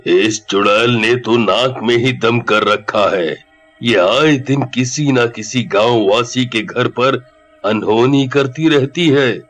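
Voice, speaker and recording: A man's voice: medium pitch, slow, fairly bright tone, soft background music. A man playing an older village holy man in a cartoon story, speaking in a grave, exasperated way.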